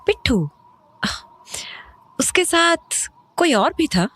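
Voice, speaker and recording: A woman's voice: high voice, sing-song, takes it slowly, fairly bright tone, no echo, heavily acted. A woman playing an uneasy young mother, stern but caring, with a questioning, worried manner in an audio horror drama. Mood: puzzled. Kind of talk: audio horror drama acting, Hindi-English dialogue.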